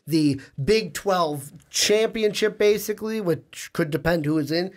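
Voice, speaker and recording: A man's voice: sits high, a little slow. A young man hosting a sports podcast, talkative and upbeat, sounding nasal and stuffy from a cold, speaking into a studio microphone. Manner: upbeat, talkative, reads plugs. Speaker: podcast host.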